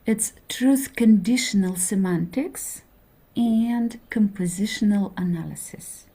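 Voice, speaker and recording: Slow, hesitant woman lecturing. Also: mid-range voice, mellow, breathy edge. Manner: hesitant, many fillers, checks in with okay. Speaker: linguistics lecturer. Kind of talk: introductory university lecture.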